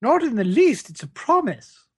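A man's voice: very high-pitched, very animated, normal speed, a bit bright, slightly rough, no room sound, clean studio sound, full character voice. Man playing a shifty, rambling British reverend. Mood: evasive, quietly threatening. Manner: hesitant, evasive, rambling, falsely polite. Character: shifty, menacing, blithely shameless parish reverend. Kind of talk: improvised comedy sketch.

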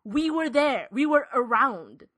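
A woman's voice: high-pitched, lively intonation, measured pace, a bit bright. A woman co-hosting a podcast in casual, opinionated chat, emphatic and circling back over her phrases. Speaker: podcast co-host.

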